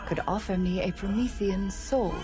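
A woman's voice: medium pitch, normal speed, lightly acted. Woman acting an imperious older woman scientist. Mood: calculating. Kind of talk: dramatic video game cutscene acting. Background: music.

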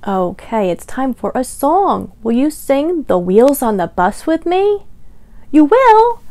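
A young woman with a high, very animated voice hosts storytime for small children. She speaks very slowly in a sing-song manner, reading simply and pausing to ask questions. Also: no room sound. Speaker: children's librarian, storytime host. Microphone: lavalier clipped to T-shirt.

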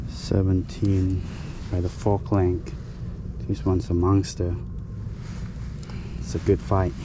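Guy narrating his own fishing from a kayak, slow and kinda low, action cam mic, loud water and wind noise behind. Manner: short excited remarks about his catch. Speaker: angler filming his own fishing trip. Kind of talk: casual running commentary. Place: kayak on open water outdoors. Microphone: head-mounted action camera.

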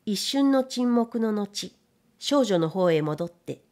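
A woman reading literary fiction as scripted narration, in a measured storytelling manner. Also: mid-range voice, expressive, darkish tone. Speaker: audiobook narrator.

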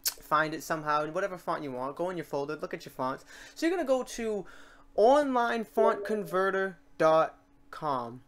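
A tutorial host talking casually and chattily through software steps in an informal screencast. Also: mid-range voice, big pitch swings, moderate pace. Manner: informal, chatty step-by-step instruction.

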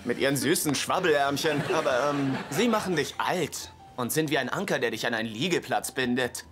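A man's voice: mid-range voice, fairly quick, lightly acted. An actor dubbing a joking, sarcastic young man in a German TV dub, talking in a wry way. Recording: music behind the dialogue.

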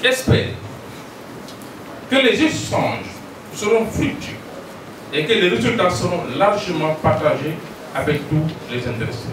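A man's voice: high voice, lively intonation, moderate pace, pauses often. An older man with a Senegalese accent solemnly reading an official speech on behalf of a minister, into a handheld mic in a large hall. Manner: solemn, ceremonious, reading aloud. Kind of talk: formal speech read from notes. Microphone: handheld mic, filmed from a distance. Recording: echoey hall, distant, noisy.

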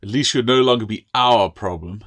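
A man's voice: lowish voice, brisk, a bit bright. A man voicing a stern, pompous older schoolmaster with a snapping, sarcastic manner, in an audiobook fantasy tale. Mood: sarcastic scolding.

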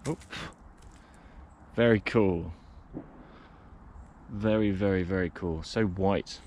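Slow, low-voiced man muttering outdoors. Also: slight background noise. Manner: quiet, muttering, amazed remarks. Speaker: forager vlogger. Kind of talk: casual walk-and-talk narration. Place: outdoors in woodland. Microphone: action camera worn or handheld.

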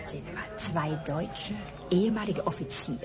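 Actress as low-voiced, confiding secretary. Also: female voice, moderate pace, over music, close to an everyday voice. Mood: apologetic. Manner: hesitant, confiding. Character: discreet office secretary, confiding, apologetic, gossipy woman. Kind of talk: German radio crime drama acting.

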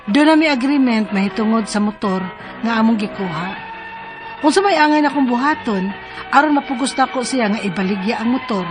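A woman with a Cebuano accent doing a dramatized reading of a listener's problem letter for radio. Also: on the high side. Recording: music behind the voice.

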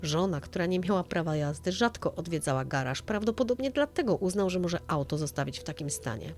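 A woman's voice: fairly low pitch, expressive, brisk, a little mellow, soft background music. A woman narrating a true-crime story as a dramatized audio reading.

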